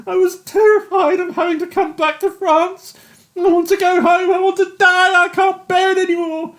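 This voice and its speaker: A narrator voicing a young British army captain who is shattered and sobbing, in a high, wailing, breaking voice. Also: big pitch swings, brisk. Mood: distraught. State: crying.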